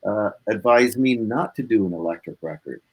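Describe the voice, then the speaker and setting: A man's voice: lowish voice, expressive, moderate pace, a little mellow, breathy edge, poor audio. A man speaking as a musician guest in a casual interview, reflective and earnest in manner.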